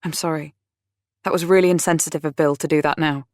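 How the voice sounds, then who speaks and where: A woman's voice: sits low, fairly even intonation, darkish tone, no echo, clean studio sound, lightly acted. A woman voicing a patient friend who speaks carefully and holds back her temper. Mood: restrained. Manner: measured, restrained.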